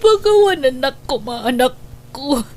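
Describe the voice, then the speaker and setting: A woman's voice: high-pitched, animated. A woman playing a sickly, poor, weary mother in an emotional radio drama. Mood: tearful. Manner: slow, emotional delivery.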